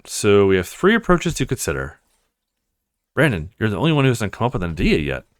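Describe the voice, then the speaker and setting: A man's voice: lowish voice, huge pitch swings, brisk, dry recording, studio quality. A man hosting a let's play, reading visual novel dialogue aloud and commenting, now and then stumbling over a word.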